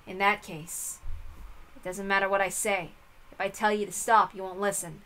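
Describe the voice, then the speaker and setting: A woman's voice: medium pitch, steady tone, normal speed, a bit bright, natural voice. Woman as a sullen, blunt teenage servant boy. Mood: resigned, irritated. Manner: blunt, dismissive. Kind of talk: visual novel read-aloud character voice.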